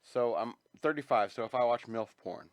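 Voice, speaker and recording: A man's voice: moderate pace, no room sound. 40s guy rambling thru crude stories, dry close mic. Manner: rambling storyteller, acts out quotes in a high whiny voice. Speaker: podcast co-host. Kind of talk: crude casual banter.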